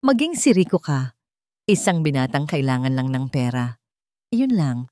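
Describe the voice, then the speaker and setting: Woman voicing a calm, steady Filipino man, cautious adviser. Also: rumbling low, moderate pace, no echo, clean studio sound. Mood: calm, firm. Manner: calm, firm.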